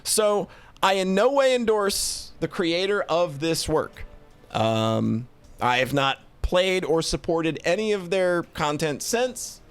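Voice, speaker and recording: A man giving casual, unscripted commentary as a streamer, halting often and repeating or correcting words as they go. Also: a little high, very animated, smooth, faint music behind. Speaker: streamer reviewing visual novels.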